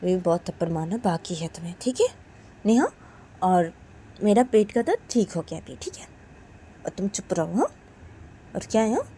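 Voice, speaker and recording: A woman voicing a bossy young woman who scolds and insults in a low, hushed voice. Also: sing-song, normal speed, pauses often, husky, heavily acted. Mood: scolding.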